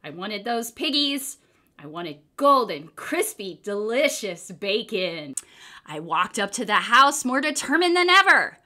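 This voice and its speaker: A woman reads a children's story aloud. Her voice is bright and forceful, with wide, lively swings in pitch. The delivery is expressive and theatrical. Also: a little slow. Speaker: storybook reader. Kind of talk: dramatic children's book read-aloud.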